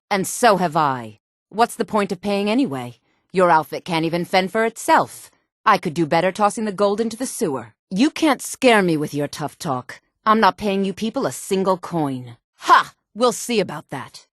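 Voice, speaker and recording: A woman's voice: no echo, clean studio sound. An actress playing a sultry, hard-edged, guarded woman in a fantasy video game, with cool, pointed delivery. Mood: defiant. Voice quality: sultry, low-pitched. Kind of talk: video game character voice lines.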